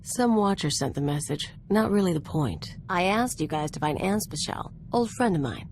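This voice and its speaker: Brash, low-voiced outlaw woman. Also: lively intonation, moderate pace, darkish tone, a little hiss or hum. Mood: determined, casual. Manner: casual, clipped, cocky. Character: brash, streetwise female outlaw gunslinger companion. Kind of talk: conversational video game acting.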